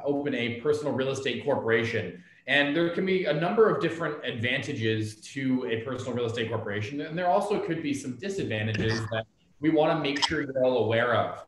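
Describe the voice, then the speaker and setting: A man in his thirties gives an earnest office update on a video call, doubling back on his words as he talks. His voice has an ordinary middle pitch. He sits back from the laptop, and the meeting room fills the sound with heavy echo. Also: a little hiss or hum. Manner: earnest, repeats words, restarts often. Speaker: office staff presenting an update. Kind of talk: casual meeting presentation. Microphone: laptop camera on video call, seated back from it. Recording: very echoey room, off-mic.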